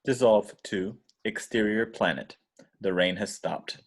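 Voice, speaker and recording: A man's voice: fairly low pitch, unhurried, vocal fry. A man reading screenplay stage directions as scripted narration in an amateur table read.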